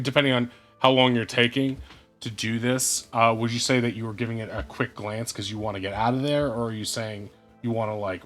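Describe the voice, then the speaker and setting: A man serves as game master for an online tabletop game, his voice sitting at an ordinary middle pitch. He talks in a measured, hesitant way, filling pauses with "uh" as he works out rulings. Faint music plays quietly behind him. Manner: measured, with many hesitant fillers.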